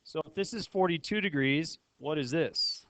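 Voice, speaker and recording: A man's voice: a little high, normal speed, a bit bright, a little hiss or hum. A man teaching a math class step by step, often checking understanding with questions. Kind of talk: classroom lesson, explaining step by step.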